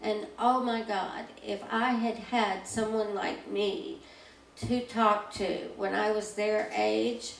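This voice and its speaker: A woman speaks slowly on a discussion panel, sharing anecdotes in a reflective way with a gravelly voice. The mic is distant, and the room is echoey with faint noise. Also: mid-range voice, fairly even intonation.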